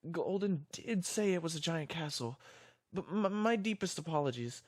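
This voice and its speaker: A performer voicing an apologetic, flustered father pony in an animated fan drama, stammering and hesitant. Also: mid-range voice, normal speed, dry recording, studio quality, lightly acted. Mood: nervous, apologetic.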